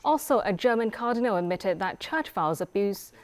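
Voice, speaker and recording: A woman's voice: mid-range voice, lively intonation, brisk. A woman anchor reading scripted television news.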